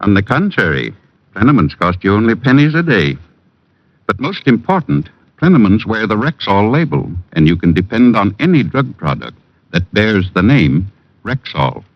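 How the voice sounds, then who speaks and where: Low-voiced animated male radio pitchman. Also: moderate pace. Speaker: advertising pitchman playing a druggist. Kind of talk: 1940s radio commercial. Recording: muffled old radio recording.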